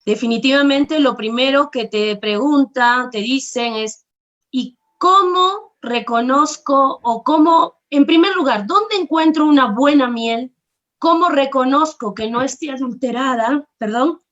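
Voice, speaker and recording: A woman's voice: high voice, a little slow, fairly bright tone, muffled recording. A woman hosting an online talk, introducing the guest politely and formally. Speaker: host.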